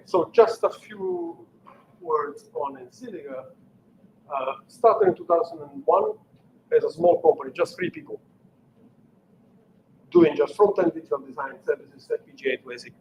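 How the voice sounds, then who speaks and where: A man's voice: fairly high pitch, a little mellow, dull audio, slight background noise. A middle-aged man from Italy with an Italian accent, giving an unscripted technical presentation with many fillers and restarts. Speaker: engineering manager giving a technical talk.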